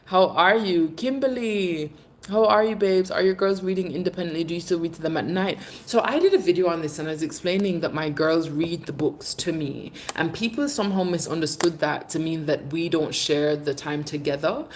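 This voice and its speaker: A woman's voice: on the low side, fairly quick, slight background noise. A woman in her thirties from England with a mixed British and Jamaican accent, chatting casually on a livestream and fondly calling viewers babes and darling. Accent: British English mixed with Jamaican. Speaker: livestream host. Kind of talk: casual live chat, reading viewer comments.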